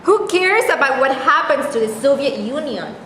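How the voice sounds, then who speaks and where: A woman's voice: high voice, lively intonation, normal speed, a bit bright. A woman playing a flippant, frank young woman in a staged reading, speaking in a direct, offhand way. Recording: very echoey hall, faint noise.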